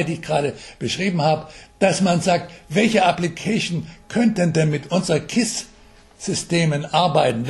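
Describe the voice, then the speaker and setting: An older man answering interview questions as an expert, explaining in a halting way with English jargon mixed in. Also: fairly high pitch, gravelly. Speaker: mobile health expert being interviewed. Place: telephone interview.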